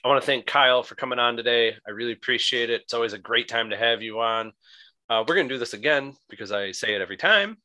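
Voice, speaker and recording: A man with a raspy voice chats on a podcast. His tone is bright and forward. He talks loosely, with restarts. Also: medium pitch, quick pace. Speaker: podcast co-host. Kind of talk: casual wrestling podcast chat.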